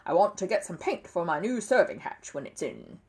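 A woman voicing a gruff, bossy older male neighbour who barks his orders loudly. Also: on the low side, big pitch swings, fairly quick. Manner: loud, barking, stern.

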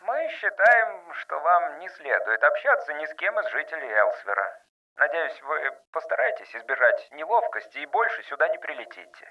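A man voicing a cold, formal government councillor with an officious manner through a loudspeaker filter in an audiobook. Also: fairly high pitch, big pitch swings, moderate pace, voice pushed up. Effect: loudspeaker, radio-like filter. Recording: dull, band-limited loudspeaker sound.

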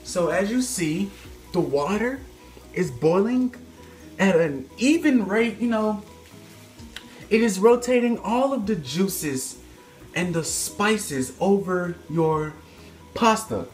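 A man's voice: high-pitched, animated, slow pace, pauses often, soft background music. A young man hosting a mock-serious home cooking video, playing the expert and joking with his viewers while he moves around the stove. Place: home kitchen, indoors. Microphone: phone camera on a stand, several feet away. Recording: echoey kitchen.

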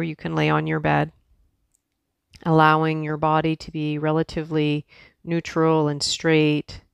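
A woman leads a guided meditation in a soft, soothing way, speaking very slowly with long pauses. Her tone stays very even, with a gravelly creak at the edges. She sounds like a teacher patiently giving relaxation instructions. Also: sits low, no room sound, clean studio sound.

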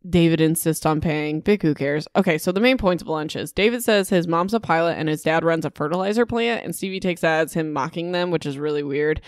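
A woman's voice: low-pitched, a little fast, few pauses, no room sound, studio quality. A woman hosting a casual solo book recap, sounding a bit sick, informal and sarcastic with mild exasperation. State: sick with a cold-like illness. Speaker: podcast host.

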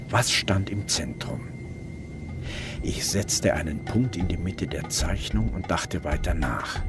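Very deep brooding detective narrator. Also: male voice, vocal fry, full character voice. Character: brooding male detective narrating his investigation. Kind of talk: scripted first-person crime drama narration. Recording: prominent music bed behind narration.

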